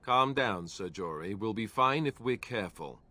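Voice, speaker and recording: A man playing a steady young warrior with a dry, sardonic, reassuring manner. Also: fairly low pitch, normal speed. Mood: wry, assured.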